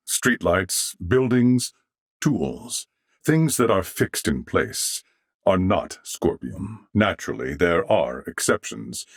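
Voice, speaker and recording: A male actor playing a calm, courteous swordsman in a video game, speaking in formal, measured phrases. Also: low voice, slightly rough, no room sound, clean studio sound, exaggerated voice. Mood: patient, explanatory. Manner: formal, archaic, measured diction. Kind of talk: scripted video game character dialogue.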